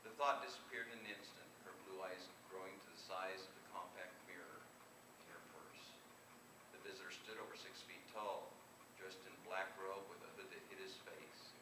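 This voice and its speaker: Man, author reading live, slightly deep voice, occasional stumbles and repeats, distant mic, clearly noisy recording. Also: steady tone, normal speed, reverberant. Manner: stumbles over and repeats words while reading. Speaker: author reading own short story. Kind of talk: live reading of humorous fiction. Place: convention room, live reading.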